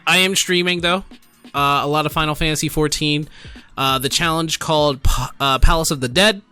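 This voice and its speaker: Bright-voiced tipsy man reacting casually. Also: medium pitch. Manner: rambling, exclamatory, addresses viewers directly. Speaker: streamer and gaming video host. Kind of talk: casual off-the-cuff reaction commentary.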